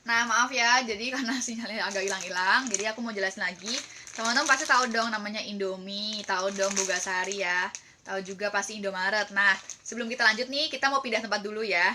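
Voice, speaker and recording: A woman's voice: medium pitch, quick pace, noisy background. An Indonesian-accented woman presenting as a team leader, explaining casually and chattily while often checking in with listeners.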